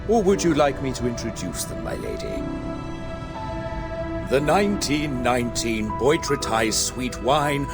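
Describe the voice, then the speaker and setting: A man's voice: medium pitch, animated, unhurried, loud music behind the voice, natural voice. An actor voicing a polite male butler who speaks formally and deferentially. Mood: courteous.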